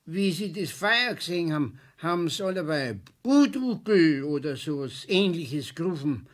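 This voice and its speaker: Man as a high-voiced Bavarian caretaker. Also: lively intonation, moderate pace, natural voice. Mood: neighbourly, concerned. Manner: folksy, tag questions, inquisitive. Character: chatty, helpful male building caretaker. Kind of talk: German children's radio play acting.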